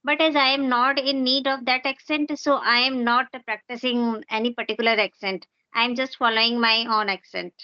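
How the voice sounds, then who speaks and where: A woman's voice: fairly high pitch, steady tone, normal speed, hardly any pauses, no room sound, dull audio. A woman with an Indian accent, an English teacher, answering questions earnestly with frequent hesitations over a video call. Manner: hesitant, many fillers, earnest. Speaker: English teacher and channel host. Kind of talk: conversational practice interview.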